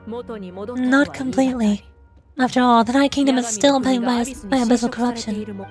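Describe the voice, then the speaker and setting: A woman's voice: a little high, fairly even intonation, normal speed, a little mellow, natural voice. A woman voicing a determined, burdened female warrior ruler with earnest resolve, in fantasy game dialogue. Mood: explanatory. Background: music.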